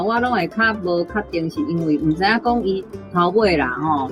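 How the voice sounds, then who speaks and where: Female language teacher, Taiwanese accent, mid-pitched, conversational explanations over faint guitar. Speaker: language teacher giving feedback. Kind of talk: informal explanation. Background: guitar music.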